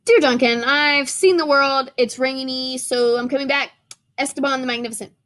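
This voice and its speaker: A woman voicing a grand, world-travelling crayon that speaks slowly and grandly. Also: high-pitched, fairly even intonation, fairly bright tone, voice pushed up. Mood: grandiose.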